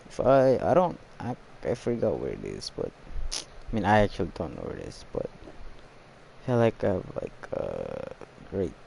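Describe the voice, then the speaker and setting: Young male streamer, lowish creaky voice, unhurried and rambling casual game commentary. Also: wildly swooping pitch, warm tone, a little hiss or hum. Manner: rambling, hesitant, trailing off.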